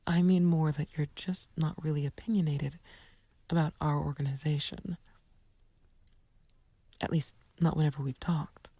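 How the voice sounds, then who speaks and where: A woman playing a flirtatious, taunting villain in an ASMR roleplay, speaking softly and breathily in a teasing, coaxing, languid way. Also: low-pitched, steady tone, normal speed, long pauses, no room sound. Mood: teasing, amused. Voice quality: breathy, whispery, soft. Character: flirtatious, taunting female villain sweet on a captive friend. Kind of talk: ASMR roleplay, intimate scripted acting.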